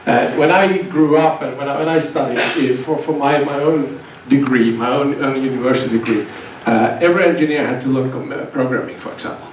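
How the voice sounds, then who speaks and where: Man, middle-aged, guest lecturer; halting, restart-heavy delivery, echoey hall, faint hiss. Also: mid-range voice. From Finland. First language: Swedish. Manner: hesitant, many restarts and repeated words. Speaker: guest speaker, university lecturer. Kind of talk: seminar talk to students. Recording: echoey hall, distant mic, faint noise.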